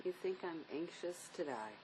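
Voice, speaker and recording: Jaded girl on the run, played by an actress: deep female voice, sardonic, resigned, noisy recording. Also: animated, moderate pace, a little mellow, natural voice. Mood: defiant. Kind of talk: dramatic script reading. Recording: clear background noise, room ambience.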